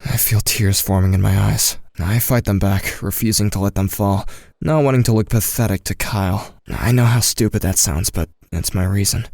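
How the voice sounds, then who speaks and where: Narrator lead: anxious, withdrawn teenage boy, male actor, lower register, dry recording, audio drama. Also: steady tone, moderate pace, gravelly, a little mellow, dry recording. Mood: anxious, subdued.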